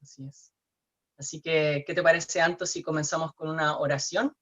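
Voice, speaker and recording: A man's voice: on the high side, level tone, moderate pace, a bit bright. A man with a Chilean Spanish accent co-hosting an online forum, speaking hesitantly with many fillers and tag questions. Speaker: co-host.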